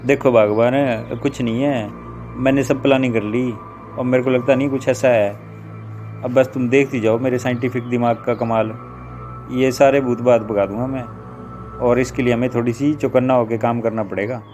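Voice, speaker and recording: A man's voice: mid-range voice, steady tone, moderate pace, a little mellow, close to an everyday voice. Man playing a confident, boastful husband over music. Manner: boastful, reassuring. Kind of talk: dramatized children's story. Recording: music behind the voice.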